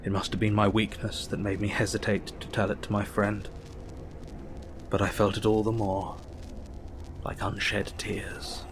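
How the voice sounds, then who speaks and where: An actor playing an earnest, troubled Victorian doctor, narrating his diary with a measured, formal, reflective manner. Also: on the low side, completely flat delivery, noisy background, lightly acted. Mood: uneasy. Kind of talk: audio drama narration.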